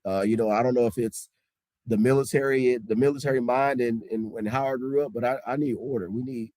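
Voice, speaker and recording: A man, a high school basketball coach, talking casually in an interview, emphatic and repeating points for stress. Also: steady tone, fairly quick, a little mellow, no room sound. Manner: strict, old-school tone.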